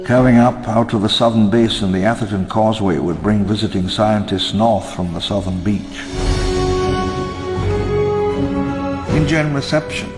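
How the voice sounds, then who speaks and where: An actor playing a wistful elderly park founder, narrating his memoirs in a measured, theatrical, ruminative way for a game audio log. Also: on the low side, lightly acted. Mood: nostalgic, proud. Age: older. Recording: long reverb under clear music.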